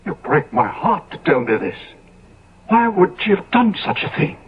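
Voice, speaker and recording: Decadent gentleman for radio melodrama: high-pitched, very animated, declamatory, appalled and weary of life. Muffled vintage sound. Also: moderate pace, breathy edge, full character voice. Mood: stunned, grieving. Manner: theatrical, exclamatory, declamatory. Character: Sir Henry, decadent, dissolute gentleman, appalled and world-weary. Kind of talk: 1970s radio drama acting. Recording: dull vintage radio recording.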